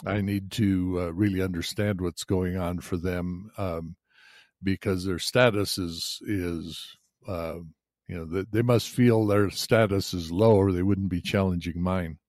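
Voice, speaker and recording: An older man explaining ideas in a podcast chat, hesitant with many filler pauses. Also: deep voice, steady tone, a little mellow, no room sound, studio quality. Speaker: podcast co-host. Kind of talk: explanatory conversation.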